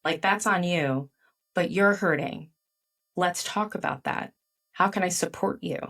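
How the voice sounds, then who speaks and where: A middle-aged woman, a guest author whose first language is Chinese, recounts personal memories with animated, candid energy. Her voice sits on the low side. She sounds a little distant from the microphone. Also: measured pace. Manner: animated storytelling with frequent "like" fillers. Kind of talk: candid interview conversation.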